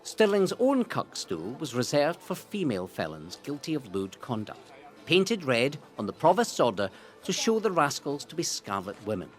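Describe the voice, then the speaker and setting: A Scottish man narrates history like a showman, his pitch swinging widely from phrase to phrase. He leans on key words with deliberate, theatrical relish. Music plays clearly behind his scripted delivery. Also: huge pitch swings. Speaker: narrator of a local history audio guide.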